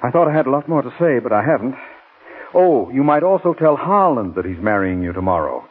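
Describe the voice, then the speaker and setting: A man's voice: medium pitch, sing-song, brisk, phone-quality sound, a little hiss or hum, close to an everyday voice. An American actor playing a proud, bitter politician jilted by his fiancée, speaking stiffly and formally in 1940s radio style. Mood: wounded, bitter. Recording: thin, band-limited 1940s radio recording.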